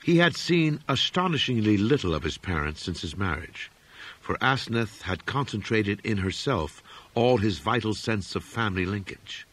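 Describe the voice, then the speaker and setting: Husky male narrator with sweeping, dramatic intonation. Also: fairly low pitch. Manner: measured, expressive literary reading. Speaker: audiobook narrator. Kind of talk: dramatic scripted narration of gothic horror fiction.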